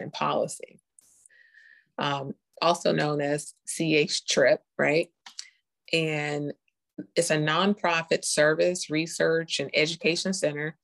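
A woman's voice: deep voice, vocal fry. A woman from North Carolina, born in Costa Rica, explaining her work as an interview guest and often checking in with "right?". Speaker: interview guest, nurse informaticist and health tech founder.

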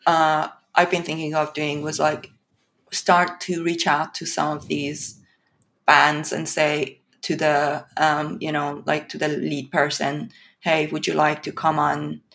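A woman from Iran, in her thirties, talking in a casual podcast interview with many fillers and pauses. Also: low voice, creaky. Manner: hesitant, many fillers, animated when laughing. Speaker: podcast guest.

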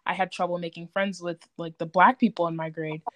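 Lower-pitched young woman, informal. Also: level tone, fairly bright tone, no echo. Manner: informal, halting, reflective, frequent "like". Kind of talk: candid personal group discussion.

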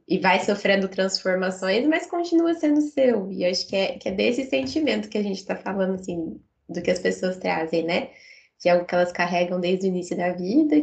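A woman's voice: medium pitch, creaky, a little mellow. A Brazilian woman co-hosting a podcast in casual, reflective conversation. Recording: dull, lo-fi sound.

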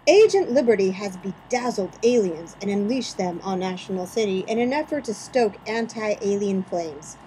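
A woman's voice: mid-range voice, animated, moderate pace, hardly any pauses, dark tone, a little hiss or hum, low-quality recording. Theatrical woman podcast host. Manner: theatrical, mock-dramatic reading. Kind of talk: podcast segment hosting and mock-dramatic recaps.

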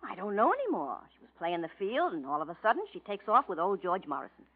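Blunt gossiping beautician, expressive, phone-thin old broadcast. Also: female voice, medium pitch, quick pace, husky. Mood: gossipy. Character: gossipy small-town hairdresser. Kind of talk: 1940s radio acting. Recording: tinny old radio broadcast.

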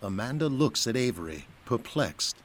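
A man's voice: mid-range voice, big pitch swings, normal speed. A man reading scripted narration for an audio drama.

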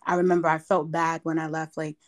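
A woman from New York, a podcast guest, chatting in a raspy, strained voice that is hoarse from yelling, quick to break off and correct herself. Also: low-pitched, level tone, dry recording, clean studio sound. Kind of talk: casual podcast interview.

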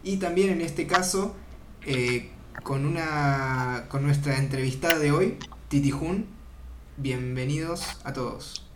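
A man's voice: fairly high pitch, slow pace. Man in an echoey, noisy room. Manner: relaxed, rambling with fillers. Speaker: podcast host. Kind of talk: casual chat. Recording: echoey room with clear noise.

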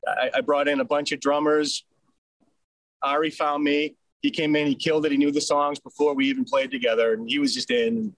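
Here is a man from Boston with that accent, easygoing and chatty as he tells how things came about. His voice sits a little high for a man. The sound is dry and close, with no room around it. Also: a little fast, creaky, no room sound, low-quality recording. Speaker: band singer and rhythm guitarist. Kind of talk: casual storytelling in an interview.

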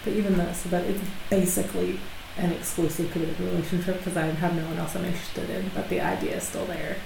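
A fairly low-pitched woman with a slightly gravelly voice talks casually and rambles, laughing often. She is recorded in an echoey dining room at home. A steady hiss sits under the recording. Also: quick pace. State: allergies. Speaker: podcast co-host. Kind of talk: casual chat.

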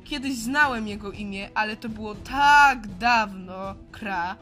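A woman voicing a grateful, forgetful male crow in a children's audio drama, croaking caws between phrases. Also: medium pitch, slow, fairly bright tone, faint music behind. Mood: forgetful, regretful. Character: grateful, forgetful male crow creature, freed nightmare servant. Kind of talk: children's radio play acting.

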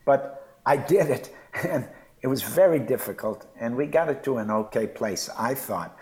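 A man's voice: medium pitch, lively intonation, moderate pace, darkish tone. An older man chatting as a podcast co-host, thoughtful and hesitant with many fillers. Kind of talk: casual conversation.

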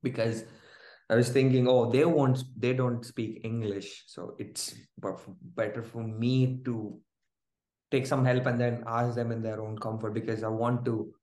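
A man telling a lively personal story as a guest in a casual interview, often laughing as he speaks. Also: medium pitch, measured pace. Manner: animated, laughing, restarts while telling a story. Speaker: guest, groom-to-be. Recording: roomy echo.

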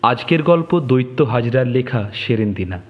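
A man's voice: mid-range voice, animated, normal speed. A man reading a scripted introduction and credits as the presenter of an audio story. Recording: dull, lo-fi sound.

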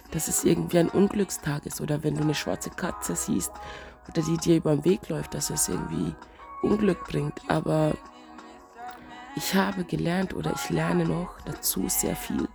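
Woman with a cold, stuffy and wheezy, gravelly low voice, slow reflective vlog musing, faint music underneath. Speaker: vlogger. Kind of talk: casual spiritual vlog monologue.

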